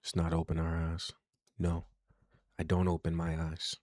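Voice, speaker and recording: Deep-voiced man playing a game. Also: completely flat delivery, moderate pace, no room sound, clean studio sound. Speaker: gaming video host. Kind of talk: casual game playthrough, reading out on-screen text. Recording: loud game music behind. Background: scary game music.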